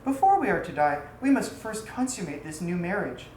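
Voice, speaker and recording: A woman's voice: lowish voice, sing-song, normal speed, darkish tone. Storybook role: loyal freed slave woman, earnest, formal, persuasive, done by a female reader; echoey room. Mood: soft, tender. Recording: echoey room, faint background noise.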